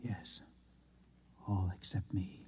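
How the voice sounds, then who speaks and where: Distraught self-proclaimed last Martian, dark husky voice, haunted halting delivery, old radio drama, muffled recording. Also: male voice, sits low, fairly even intonation, heavily acted. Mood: haunted, anguished. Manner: haunted, halting, repeating phrases, sighing. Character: distraught gloomy man claiming to be the last Martian.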